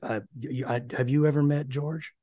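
A man playing an elderly film actor, polite and halting, repeating himself on a prank phone call. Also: on the high side, steady tone, dark tone, no room sound, clean studio sound, lightly acted. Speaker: radio prankster. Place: small radio studio.

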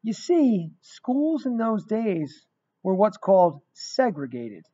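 Middle aged guy doing a dramatic storytime read, highish mellow voice, kinda lo-fi sound. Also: very animated, slow, husky. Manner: emphatic, dramatic storytelling with repeated phrases. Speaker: guest reader of a children's book. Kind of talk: expressive read-aloud of a rhyming picture book. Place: small indoor room. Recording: dull, lo-fi webcam sound.

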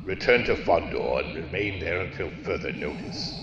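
A man in an audio drama playing a menacing, scheming old dark lord, imperious and deliberate. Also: low voice, animated, echoey room, lo-fi sound, over music. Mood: commanding.

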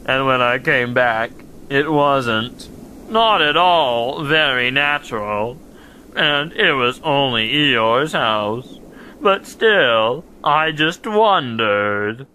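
A man's voice: medium pitch, expressive, sharp tone, a little hiss or hum, heavily acted, rough recording. A man playing a gloomy, self-pitying donkey in an audio drama, with mournful, understated complaints. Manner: slow, mournful, understated, long-suffering complaints.

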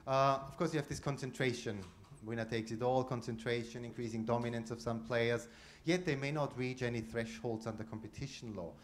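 A man's voice: mid-range voice, steady tone, fairly quick, a little hiss or hum. A man in his forties from Austria, speaking as a panelist in a conference room, restarting phrases often. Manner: speaks with frequent restarts and fillers, gestures while talking. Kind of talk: roundtable remarks. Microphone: handheld microphone.

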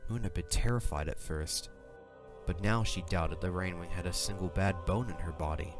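A man's voice: low-pitched, normal speed. A man reading scripted, dramatic fantasy narration as the narrator of an audio drama. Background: music.

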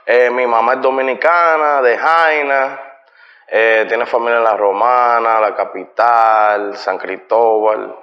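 A middle-aged man with a Puerto Rican Spanish accent talks easily as an interview guest. His voice sits at an ordinary pitch and sounds dull and lo-fi. It also carries a long reverb, picked up by a camera across a bright living room. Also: fairly even intonation, slow pace. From Puerto Rico. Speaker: guest, musician. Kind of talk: casual interview.

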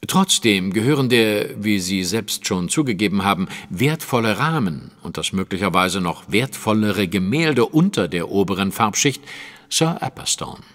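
Shrewd detective man in an audio drama, lectures precisely, lowish voice, big echoey hall. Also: expressive, normal speed, fairly bright tone. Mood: insistent, reasoning. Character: shrewd, self-assured male detective, precise and patient. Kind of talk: German audio drama acting.

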